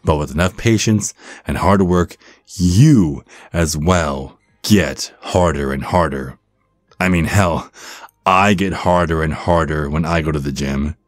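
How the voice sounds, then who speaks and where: A man's voice: rumbling low, slow pace, no echo, clean studio sound, soft background music. A man voicing a supportive, flirty werewolf gym bro in an ASMR roleplay, with an encouraging, stammering manner. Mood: friendly, coaching. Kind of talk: ASMR roleplay, one-sided conversation.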